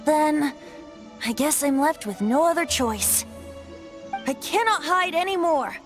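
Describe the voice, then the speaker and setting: A cheeky young male bard from a fantasy game, secretly a god and fond of grandiose flourishes. The voice is high and swoops animatedly as he cajoles, with soft music behind. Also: expressive, measured pace. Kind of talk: fantasy video game voice acting. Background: background music.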